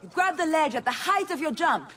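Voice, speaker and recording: An actress playing a brash, teasing young Venetian thief in a video game, blunt, with an Italian accent. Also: female voice, high-pitched, sing-song, a little fast, fairly bright tone, slight background noise. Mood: brisk, instructing.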